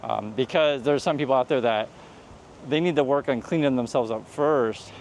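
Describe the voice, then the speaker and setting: A man's voice: medium pitch, normal speed, slight background noise. A man vlogging while walking outside, giving earnest advice in a rambling way, with many restarts. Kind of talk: casual vlog commentary while walking. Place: outdoors on a street near traffic.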